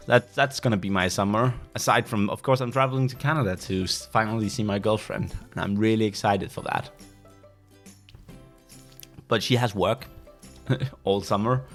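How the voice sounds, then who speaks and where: A young Danish man hosting a casual solo podcast, pausing often and restarting sentences as he looks for the right word. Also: fairly low pitch, a bit bright. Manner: pauses often to search for words, many restarts. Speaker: podcast host. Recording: faint background music.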